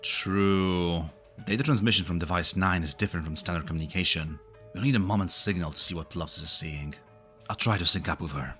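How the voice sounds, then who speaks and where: Deep, bright-voiced dutiful man acted by a man. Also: a little fast, faint music behind. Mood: businesslike. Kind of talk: scripted game fan dub acting.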